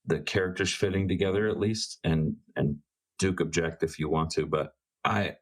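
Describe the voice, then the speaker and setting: Deep-voiced man reasoning aloud. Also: fairly even intonation, normal speed. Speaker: tabletop role-playing game player. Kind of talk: casual discussion of game characters. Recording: soft music behind the voice. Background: music.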